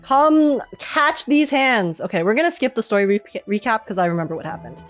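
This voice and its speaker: A woman with a fairly high voice talks like a game streamer reacting on camera. She is informal and gushing, often doubling back on phrases to stress them. Some music plays behind her. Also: animated, brisk. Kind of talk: casual excited gaming commentary.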